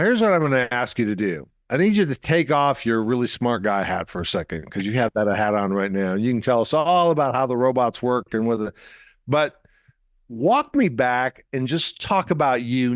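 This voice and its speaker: An enthusiastic male host keeps the chat moving with eager, talkative energy and often repeats himself. His voice is mid-pitched, and the recording sounds dry and close. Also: dry recording. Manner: enthusiastic, chatty, repeats words. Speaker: show host. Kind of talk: casual interview hosting.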